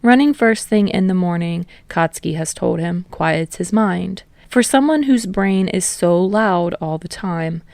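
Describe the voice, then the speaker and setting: A woman's voice: lowish voice, a little slow, gravelly, hardly any pauses, a little mellow, dry recording. A woman reading fiction aloud as a scripted story narrator.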